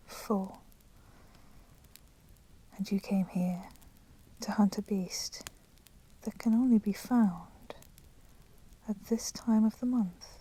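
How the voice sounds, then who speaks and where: A woman playing a polite but menacing vampire in a whispered ASMR roleplay, speaking softly and formally. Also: mid-range voice, low-quality recording. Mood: probing, deliberate. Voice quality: breathy, soft, often whispering. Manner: slow, deliberate, formally courteous. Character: polite, menacing female vampire, proud and merciful.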